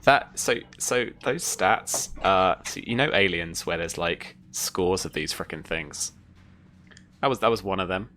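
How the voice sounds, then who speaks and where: A man's voice: low voice, measured pace, pushed and bright. A man acting as game master, chatting casually with players after a tabletop session.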